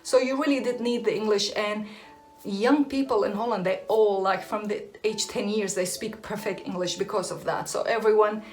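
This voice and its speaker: A woman's voice: medium pitch, steady tone, normal speed, soft background music. A young woman from Iraq whose first language is Aramaic, chatting casually to camera as a hair and beauty vlogger, laughing often and restarting her sentences.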